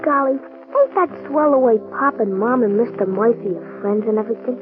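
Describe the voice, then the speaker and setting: High squeaky lady playing a kid, all excited and slangy, old tinny radio with music. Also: moderate pace, lightly acted. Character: eager young boy, wide-eyed and earnest. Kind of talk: 1940s radio acting.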